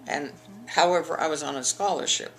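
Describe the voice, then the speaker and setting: A woman's voice: low-pitched, a little fast, fairly bright tone, slight background noise, low-quality recording. An older woman from the eastern United States, telling her school memories in an oral history interview, rambling with frequent restarts. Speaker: interviewee, alumna.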